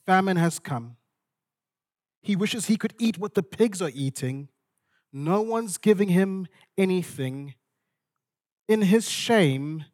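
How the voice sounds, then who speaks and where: A man from Zimbabwe, preaching an expository sermon with storytelling, in deliberate, dramatic phrases that repeat for emphasis. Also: high-pitched, a little mellow.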